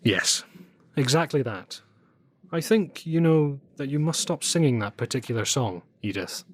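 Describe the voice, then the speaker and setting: An actor playing a middle-aged German Nazi official in an audio drama, formal and measured, courteous with an underlying threat. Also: male voice, medium pitch, animated. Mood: quietly firm.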